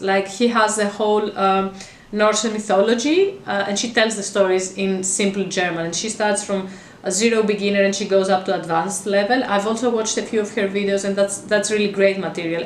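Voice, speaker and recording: A woman's voice: medium pitch, a little hiss or hum. Woman chatting in a roomy space. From Greece. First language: Greek. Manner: chatty and meandering, with frequent fillers and restarts. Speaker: vlogger. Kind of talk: casual talk to camera. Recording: roomy echo.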